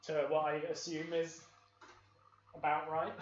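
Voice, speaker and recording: A man's voice: on the high side, monotone, slow. A young Welsh man with an upbeat, playful, encouraging manner, hosting a live baking session for children from a home kitchen. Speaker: host of a kids' baking livestream. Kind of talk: leading a live baking session with a game of I Spy. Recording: echoey room, dull lo-fi stream audio.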